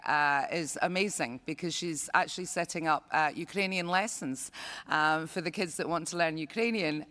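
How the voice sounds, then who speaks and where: A woman's voice: on the low side, a bit bright. A woman giving earnest panel remarks at a conference, with many ums and uhs. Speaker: panel speaker. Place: conference hall.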